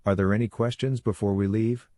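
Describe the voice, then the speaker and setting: Man voicing a low-pitched werewolf pack leader, instructive. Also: fairly even intonation, mellow, no room sound, clean studio sound, close to an everyday voice. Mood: firm instructive. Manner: measured, instructive lecturing. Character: calm authoritative male werewolf pack leader teaching young pack.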